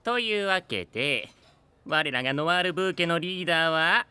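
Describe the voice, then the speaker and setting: A man's voice: a little high, normal speed, bright, forceful tone, smooth, no room sound. An actor playing a polite, playful young male knight with courteous, theatrical delivery in an anime-style voice drama. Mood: triumphant announcing.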